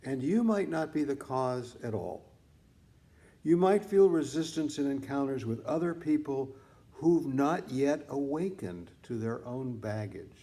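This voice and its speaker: A man reading scripted meditation teaching like an audiobook author, in deliberate, measured phrases with pauses. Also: medium pitch, expressive, mellow. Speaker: author reading own book on mindfulness.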